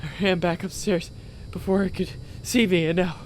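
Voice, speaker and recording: An actor playing a frightened podcaster hiding from a creature, stammering as he hushedly recounts his escape. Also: sits high, fairly even intonation, moderate pace, slight background noise, natural voice. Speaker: podcaster character. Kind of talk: radio drama acting.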